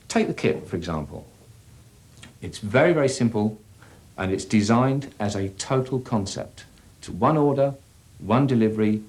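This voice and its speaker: A man giving a measured, matter-of-fact explanation as a company representative in an instructional sales film. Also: lowish voice, a little mellow, close to an everyday voice.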